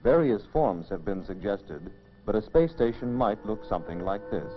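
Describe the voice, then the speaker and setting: A man giving measured, explanatory scripted narration for a mid-century educational film, on a dull old film soundtrack. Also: on the low side, big pitch swings, soft background music.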